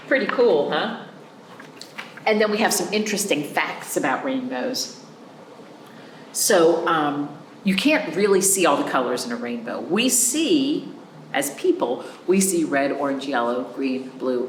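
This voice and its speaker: A woman leads a storytime for young children at an unhurried, slow pace. Her pitch swings expressively as she reads and adds chatty asides, checking in with simple questions. The room gives her voice an audible echo. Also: a little hiss or hum. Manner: animated, simple explanations with frequent check-in questions. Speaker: children's librarian hosting virtual storytime. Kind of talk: picture-book read-aloud with chatty asides for young children.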